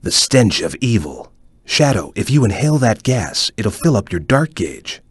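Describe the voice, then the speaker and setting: A man playing a calm, serious ninja chameleon in a video game, speaking in a measured, stoic and focused way with a low, husky voice. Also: no echo. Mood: serious, watchful. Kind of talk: video game voice lines, hints and tutorial instructions.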